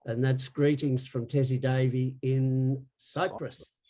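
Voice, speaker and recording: Mellow-voiced man, co-host. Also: mid-range voice, flat delivery, slow. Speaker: co-host, interviewer. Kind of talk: casual interview, reading out listener questions.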